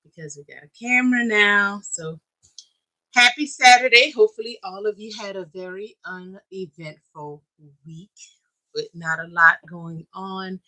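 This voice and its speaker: Bright-voiced chatty woman host. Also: medium pitch, a little slow, poor audio. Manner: chatty, greets viewers by name. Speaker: co-host of a toy livestream. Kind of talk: casual livestream chat. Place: home toy museum room. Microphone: camera-mounted mic.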